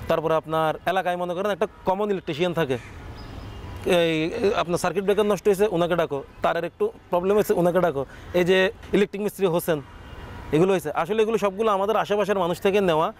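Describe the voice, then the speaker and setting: High-voiced young chattogram guy chatting in an interview, some noise behind him. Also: quick pace. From Chattogram, Bangladesh. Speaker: comedy content creator, interview guest. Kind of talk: casual interview answers.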